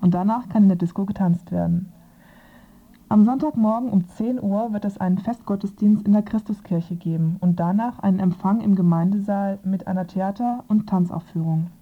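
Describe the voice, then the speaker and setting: A woman presents a scripted radio report in the manner of a magazine programme. Her voice sits at an average pitch. The recording is thin and band-limited, almost like a phone line. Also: moderate pace.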